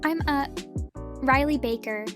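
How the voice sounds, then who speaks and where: Woman playing a hesitant, highish-voiced school kid. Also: over music. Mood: shy. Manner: halting, shy. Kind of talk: cartoon comic dub acting.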